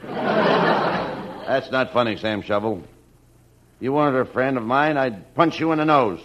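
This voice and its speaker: A man playing a tired, gruff, slow-witted police lieutenant in a 1940s radio comedy, drawing out his words wearily. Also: medium pitch, dull audio, lightly acted. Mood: gruff, annoyed.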